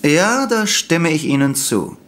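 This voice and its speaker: A man's voice: fairly high pitch, sing-song, measured pace, a bit bright. An actor playing a dutiful, cautious male police officer in a German audio drama, speaking formally and correctly. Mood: agreeable.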